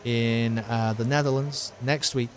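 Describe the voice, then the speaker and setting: A man commentating a sim race and signing off his stream, excitable and rambling, with his voice wearing thin after a long session. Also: mid-range voice, measured pace, a little hiss or hum. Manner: excited, rambling, with many uh fillers. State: losing his voice.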